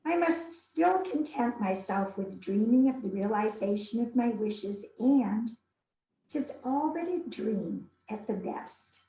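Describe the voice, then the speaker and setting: A woman's voice: fairly high pitch, animated, slow pace, mellow. A woman reading as a devoted, candid young wife of the 1830s, giving her letters in formal, earnest, old-fashioned phrasing. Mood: resigned, wistful. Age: young adult. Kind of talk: dramatic reading of 19th-century letters. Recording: roomy, echoing sound.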